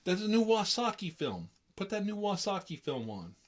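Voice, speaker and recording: A man's voice: high voice, sing-song, moderate pace, voice pushed up. A man with an American accent playing a chatty, hapless fellow who lives with his mother, rambling in folksy, opinionated small talk. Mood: whiny, yelling. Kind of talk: improvised roleplay monologue.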